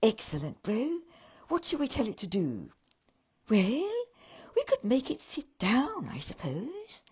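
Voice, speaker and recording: A woman voicing two tiny, cheery male elf brothers who talk in squeaky, excitable, condescending tones. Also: slow, breathy edge, heavily acted. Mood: eager.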